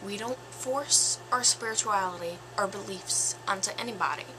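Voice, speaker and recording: A woman in her twenties with a slightly raspy voice, giving her opinion in a casual vlog, slow and thoughtful with pauses and fillers. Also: medium pitch, noisy background. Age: 20s. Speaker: vlogger.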